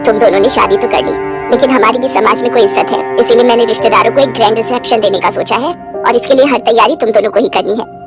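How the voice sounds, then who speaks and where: A woman's voice: a little high, fast talker, pitched below the performer's own voice, close to an everyday voice. Bossy middle-aged mother-in-law, acted by a woman narrator; scornful, sarcastic, melodramatic storybook delivery over background music. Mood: scolding. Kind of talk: acted dialogue in a moral story. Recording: music behind the voice.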